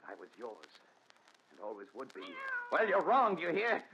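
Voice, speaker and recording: An actor playing a haunted, desperate man confessing his story, with intense, dramatic pauses in 1940s radio melodrama style. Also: fairly high pitch, wildly swooping pitch. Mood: frenzied, defiant. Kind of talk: 1940s radio thriller acting. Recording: dull noisy 1940s radio recording.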